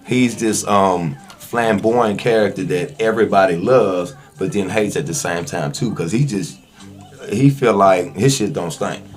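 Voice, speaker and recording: A man's voice: sits low, measured pace, faint music behind. A man with a Southern US accent telling stories as a podcast guest in a casual, slangy, conversational way. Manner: slangy, informal. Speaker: podcast guest, actor and online skit comedian.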